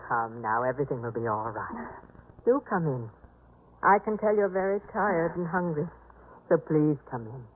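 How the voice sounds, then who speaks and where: An actress playing a kind, composed mistress of a biblical household in a 1950s radio drama, with a gentle, reassuring delivery. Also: female voice, sits low, animated, moderate pace, a little hiss or hum. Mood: welcoming, reassuring. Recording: muffled, telephone-like 1950s radio recording.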